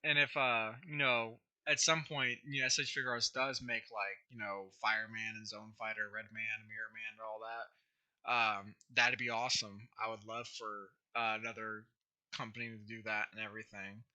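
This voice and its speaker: Young male streamer, hoarse, very bright tone, casual rambling chat full of fillers. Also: on the low side, little pitch movement, moderate pace. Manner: rambling, many fillers and restarts. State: hoarse, scratchy throat. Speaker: YouTube creator hosting a livestream. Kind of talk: casual livestream chat answering viewers.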